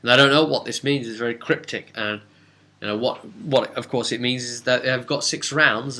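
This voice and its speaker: A man casually recapping a tabletop role-playing session as game master, telling it like a story with halting asides. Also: mid-range voice, pushed and bright.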